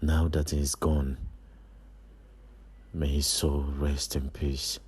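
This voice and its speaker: An actor playing a cold, brooding man in a film monologue, in a husky voice. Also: a bass voice, little pitch movement, slow, a little mellow, heavily acted. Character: brooding, cold man musing on twin's death.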